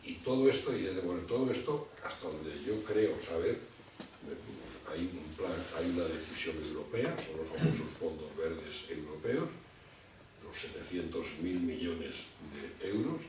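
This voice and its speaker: A man giving a public lecture. He talks in a halting way and often repeats words while he gathers his thoughts. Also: low-pitched. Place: lecture hall. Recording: distant mic in an echoey hall with background noise.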